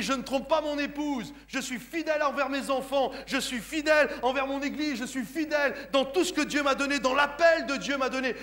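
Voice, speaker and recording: A man's voice: very high voice, pushed and bright. A French man of Sicilian descent, a pastor preaching a sermon in church with emphatic delivery, often asking his congregation if they understand. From France, of Sicilian parents. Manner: emphatic, repeatedly asks the congregation "Comprenez-vous?".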